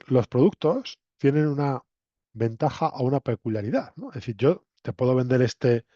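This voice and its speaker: Man, Castilian Spanish accent, mellow voice, conversational lecturer, frequent tag questions, muffled lo-fi audio. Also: medium pitch. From Spain, La Mancha. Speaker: university lecturer. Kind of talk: interactive lecture to students. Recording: roomy echo, dull lo-fi sound.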